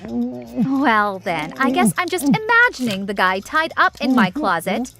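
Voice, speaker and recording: A woman's voice: on the high side, big pitch swings, measured pace, creaky, full character voice. A woman acting a sly, flippant shop owner and secret agent in a naturalistic audio drama. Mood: mocking. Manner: flippant, sardonic, breezy.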